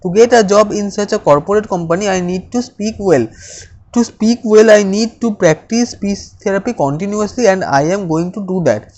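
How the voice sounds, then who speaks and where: A speaker, reading self-affirmations aloud slowly and deliberately, stammering with repeated words and restarts. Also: mid-range voice, darkish tone, rough recording. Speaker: speech practice coach.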